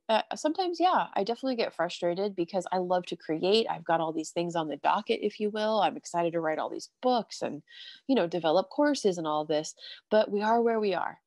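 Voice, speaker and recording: A woman's voice: on the low side, a little mellow, no room sound, studio quality. A woman hosting a casual spiritual chat over a video call, a little stuffy from allergies, breezy and upbeat, often saying "you know" and "if you will". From California. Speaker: host.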